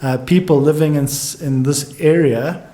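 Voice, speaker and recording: A man, a guest economist, musing aloud in halting restarts during a casual podcast conversation. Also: fairly high pitch, mellow, roomy sound. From South Africa.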